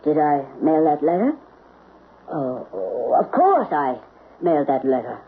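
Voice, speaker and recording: An actress playing a timid, evasive housekeeper in a 1970s radio drama, with a hesitant, flustered delivery. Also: female voice, low-pitched, very animated, measured pace, creaky, husky, exaggerated voice. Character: timid, evasive female housekeeper afraid of her boss. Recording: tinny, slightly noisy old radio broadcast.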